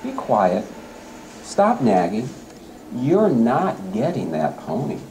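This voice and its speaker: A firm, weary parent from a storybook poem, read by a man. The refusals are curt and dismissive, in a mellow middle-pitched voice. A faint noise of the room is behind it. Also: huge pitch swings, slow, distant mic, low-quality recording.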